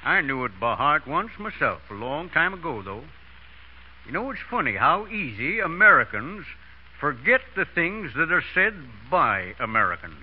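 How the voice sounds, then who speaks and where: A man's voice: on the high side, sing-song, normal speed. An actor playing a wistful, reminiscing man in a 1930s radio drama. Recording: noisy muffled old radio broadcast.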